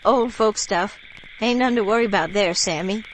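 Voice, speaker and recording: A woman's voice: mid-range voice, moderate pace, lightly acted, rough recording. Woman playing folksy bayou neighbor, faint swamp noise. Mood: friendly, folksy. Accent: Louisiana bayou. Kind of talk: audio drama acting.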